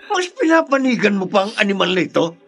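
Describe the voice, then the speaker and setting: An actor playing an elderly, hot-tempered, protective grandfather with a raspy voice in a Filipino radio drama. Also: high voice, big pitch swings, quick pace, a bit bright, full character voice. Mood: enraged. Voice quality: gravelly, raspy. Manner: indignant, emphatic.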